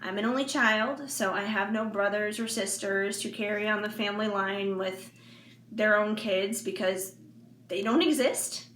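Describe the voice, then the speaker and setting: A woman of forty talking candidly to her viewers in a casual, rambling way with many fillers and soft laughs. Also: medium pitch, a little slow. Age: 40s. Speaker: intuitive reader and vlogger. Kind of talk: casual heartfelt monologue to viewers. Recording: echoey room with faint background noise.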